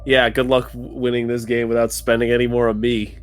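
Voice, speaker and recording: A man jokes his way through a tabletop game in a medium-pitched voice. His banter is casual, sweary and mock-dramatic. Light music sits underneath. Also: monotone, a little fast.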